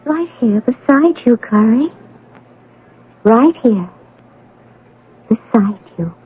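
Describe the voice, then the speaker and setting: An actress playing a gentle, beckoning female spirit in a radio drama, with a soft, tender voice. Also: a little high, animated, slow, phone-quality sound. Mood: reassuring. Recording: noisy, band-limited old radio broadcast.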